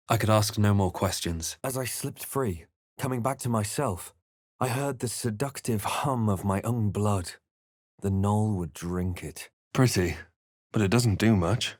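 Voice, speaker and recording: A man playing a grave, wary fantasy adventurer, delivering scripted game lines in a measured, dramatic way. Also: fairly low pitch, no room sound, studio quality, lightly acted. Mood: wary, wry.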